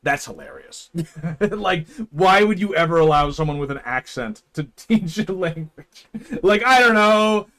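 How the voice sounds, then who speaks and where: A young man with a slightly higher voice streams and chats loosely with his viewers. He rambles, restarts sentences and drops in small chuckles. His talk is casual and unscripted. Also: lively intonation, a little slow, fairly bright tone. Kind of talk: casual chat with live viewers.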